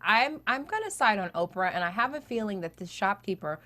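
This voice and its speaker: A woman's voice: medium pitch, expressive, a little fast, pushed and bright. A woman talking casually as a panelist on a talk show, giving her opinions.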